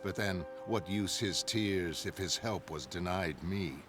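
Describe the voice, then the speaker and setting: A man playing an anguished, grave shipwrecked sailor who narrates a pirate horror tale in solemn, measured, literary phrases. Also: deep voice. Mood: anguished, bleak. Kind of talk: brooding first-person audiobook narration. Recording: music under the voice.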